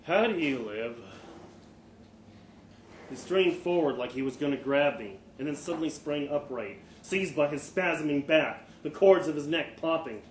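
A man with a slightly high voice reads fiction aloud at a live event. His delivery is expressive, with dramatic pauses and small stumbles. The room echoes noticeably and there is faint noise behind him. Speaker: story reader. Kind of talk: live dramatic short-story reading, first person.

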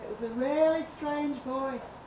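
A woman's voice: high voice, measured pace, mellow. Woman playing a bemused call operator, distant mic. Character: matter-of-fact, mildly bemused female emergency call operator. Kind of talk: dramatic monologue read at a live story event. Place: live reading in a pub function room. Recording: echoey room, distant mic, noisy ambience.

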